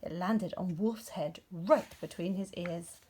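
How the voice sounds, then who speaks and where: A woman narrating a story for young children in an expressive storytelling style. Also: fairly low pitch, measured pace, breathy edge. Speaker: storybook reader.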